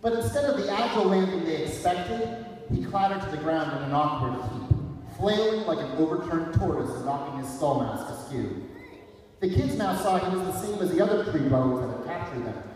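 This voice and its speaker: A man's voice: fairly high pitch, darkish tone. A man giving a dramatic reading of a story aloud, recorded with a distant microphone in a large room. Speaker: author reading from a book. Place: large echoey room at an elementary school. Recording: very echoey hall from far off, faint noise.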